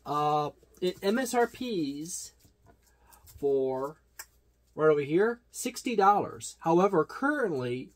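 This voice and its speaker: A man giving a casual, unscripted product overview as a hobby reviewer, talking haltingly and wandering into side remarks. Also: on the high side, very animated. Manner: halting, digressive delivery. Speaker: tabletop gaming reviewer.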